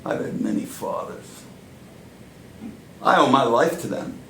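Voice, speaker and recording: Man acting gravelly New power lawyer, fatherly. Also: medium pitch, lively intonation, fairly bright tone. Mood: assured, forceful. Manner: deliberate, emphatic, persuasive. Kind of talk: dramatic stage monologue. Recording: roomy echo, faint background noise.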